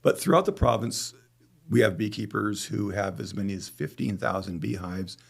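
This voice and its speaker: A man's voice: lowish voice, moderate pace, creaky, slightly rough. A middle-aged man with a Canadian accent, talking conversationally as a guest in an interview. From Calgary, Canada. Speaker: podcast guest, beekeeper.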